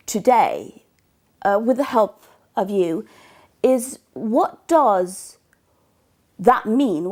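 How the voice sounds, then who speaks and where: A woman's voice: medium pitch, darkish tone. A woman from Norway, a native Norwegian speaker, talking to camera as a book blogger in a thoughtful, hesitant way as she searches for words. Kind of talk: casual vlog talk to camera.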